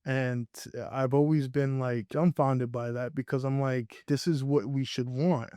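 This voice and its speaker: A man's voice: mid-range voice, steady tone, measured pace, dark tone, no room sound, studio quality. A young man from Puerto Rico, raised in Connecticut, talking earnestly as a guest filmmaker in a casual interview, often restarting his sentences. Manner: earnest, reflective, with frequent restarts.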